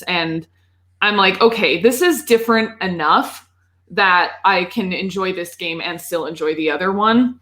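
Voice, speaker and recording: A woman's voice: mid-range voice, fairly bright tone. A woman co-hosting a podcast, chatting casually about video games in an informal, rambling way. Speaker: podcast co-host.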